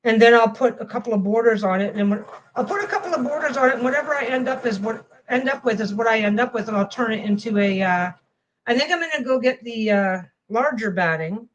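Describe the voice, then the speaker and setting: A woman's voice: on the high side, fast, muffled recording, slight background noise. A woman vlogging casually as she sews a quilt panel at home, rambling and often restarting her phrases. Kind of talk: casual chat while sewing.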